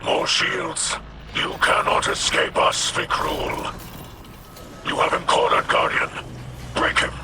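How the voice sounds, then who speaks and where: An actor playing a fierce, commanding alien warrior leader in a video game, with a stern, growling voice. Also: male voice, slow pace, pauses often, very noisy, natural voice. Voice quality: growling, gravelly. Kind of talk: video game character dialogue. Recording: loud music behind. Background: game music.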